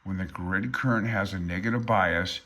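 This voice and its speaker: An older man with a low-pitched voice and a sharp, forward tone works through a technical text aloud. He presents it informally as a hobbyist, often breaking off into unsure, self-effacing comments of his own. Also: moderate pace. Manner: hesitant, self-deprecating, unsure of himself. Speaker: amateur radio hobbyist presenter. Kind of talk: informal tutorial, reading aloud from a handbook with asides.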